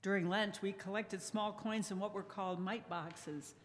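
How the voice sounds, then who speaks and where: An older woman speaking softly and thoughtfully to a congregation, sharing memories in a measured way as a lay speaker. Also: medium pitch, steady tone, a little hiss or hum. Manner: soft-spoken, measured, reminiscing. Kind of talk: reflective personal talk to a congregation. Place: church sanctuary. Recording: echoey church hall reverb.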